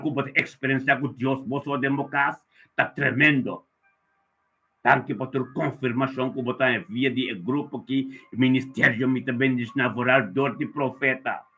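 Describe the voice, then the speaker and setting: A man's voice: medium pitch, sharp tone, husky. A man leading a fervent spontaneous prayer as a preacher, calling out in short, repetitive, emphatic bursts, on a tinny, band-limited recording. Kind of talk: extemporaneous devotional prayer of thanksgiving and blessing.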